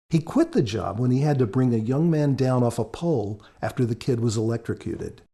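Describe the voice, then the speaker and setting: A man with a husky voice, an author giving earnest, measured, reflective commentary on a story. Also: medium pitch, dark tone. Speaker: author and podcast guest commentator.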